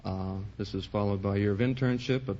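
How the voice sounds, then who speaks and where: A man's voice: on the low side, quick pace, dark tone. An American man in his twenties from Indiana, answering a quiz host earnestly in formal wording. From Indiana, United States. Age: 20s. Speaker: quiz show contestant. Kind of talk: unscripted interview answers. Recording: dull, faintly noisy vintage radio recording.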